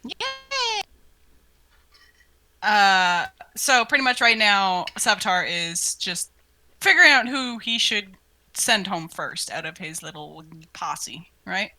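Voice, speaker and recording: Bright, animated woman co-hosting casual commentary. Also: medium pitch, slow, long pauses. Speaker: co-host asking audience questions, voice cast member.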